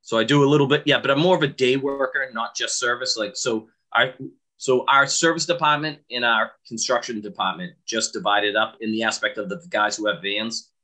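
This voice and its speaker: A man's voice: medium pitch, fairly even intonation, a little fast, bright, forceful tone. A man with a thick Boston accent, a sprinkler fitter guest chatting bluntly on a podcast and telling stories about work. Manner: blunt, storytelling, quotes himself. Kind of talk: casual podcast chat.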